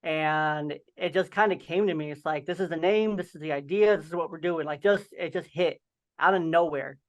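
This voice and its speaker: A man's voice: on the high side, normal speed. A young man with a slight lisp and an occasional stutter tells his story in a casual, rambling way full of fillers on a video call. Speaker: podcast host and guest. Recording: dull lo-fi video call audio.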